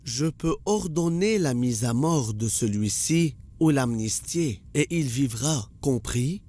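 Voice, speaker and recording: A man's voice: mid-range voice, expressive, slow, dark tone. A man playing an arrogant, menacing tyrant king in a religious cartoon, imperious and commanding. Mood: boastful, menacing. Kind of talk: dubbed religious cartoon acting.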